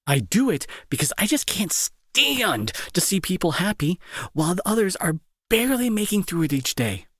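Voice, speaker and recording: A man playing a remorseful, protective bully with a grieving streak, in an intimate dramatic audio roleplay with halting, earnest delivery and a husky, breathy voice. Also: sits high, big pitch swings, a bit bright, no echo, clean studio sound, full character voice. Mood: defensive, agitated. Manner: halting, emotional, earnest pleading. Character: angry male bully turned remorseful, protective, grieving brother.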